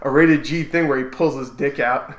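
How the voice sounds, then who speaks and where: A man's voice: mid-range voice, steady tone, normal speed. A young man co-hosting a casual podcast, loose and profane, laughing often. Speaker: podcast co-host. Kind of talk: casual chat. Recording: echoey room.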